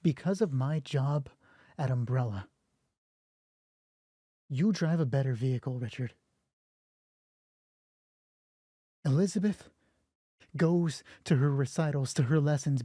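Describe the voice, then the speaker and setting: A man voicing a career-driven wife who defends her work in a measured, insistent way in an audio drama. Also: mid-range voice, mellow, no echo, clean studio sound, natural voice. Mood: firm.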